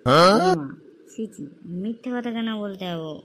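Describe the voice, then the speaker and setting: A woman's voice: medium pitch, expressive, measured pace, a bit bright. A woman voicing a cheeky, sly young village boy in an amateur comic cartoon, wheedling and mock-innocent. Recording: faintly noisy amateur recording.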